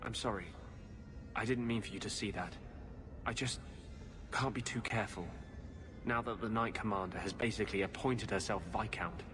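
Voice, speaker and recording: A man's voice: lowish voice, normal speed, long pauses, music in the background, lightly acted. A man playing an embittered, idealistic rebel mage in a video game, intense and grave. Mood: bitter, grave. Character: embittered, earnest male rebel mage, brooding. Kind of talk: video game cutscene acting. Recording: clear background ambience noise.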